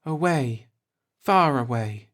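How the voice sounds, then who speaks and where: Man voicing a mournful old alien man, pitched high. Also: animated, slow, fairly bright tone. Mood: resigned, stubborn. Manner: formal, solemn, grieving speech.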